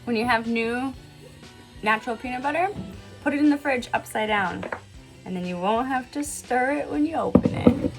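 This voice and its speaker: Creaky-voiced woman, casual vlogger. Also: fairly high pitch, normal speed. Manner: informal, chatty, thinking aloud. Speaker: vlog host and mother of young kids. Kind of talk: casual home vlog chat, talking to viewers and kids. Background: music.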